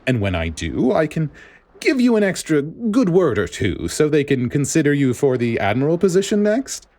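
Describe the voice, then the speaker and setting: A man voicing a smug, middle-aged director who wheedles in an oily, drawn-out way, in a story reading. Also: fairly high pitch, huge pitch swings, a little mellow, pitched above the performer's own voice. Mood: smug wheedling. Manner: oily, drawn-out persuasion, stammering when cornered.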